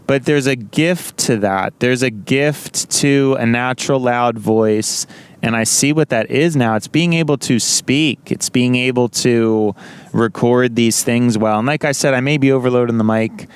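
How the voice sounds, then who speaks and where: A young man with a naturally loud voice, rambling casually to the camera with many restarts and self-corrections. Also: mid-range voice, clear, resonant tone. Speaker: vlogger.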